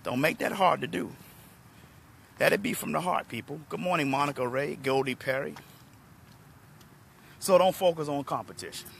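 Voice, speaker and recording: A middle-aged man from Washington, D.C., a motivational speaker, talking hoarsely and chattily to viewers on a phone held at arm's length outdoors. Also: a little high, moderate pace, long pauses, slight background noise. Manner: relaxed, chatty, addresses viewers by name. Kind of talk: live-streamed talk to viewers. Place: outdoors in a parking lot under trees.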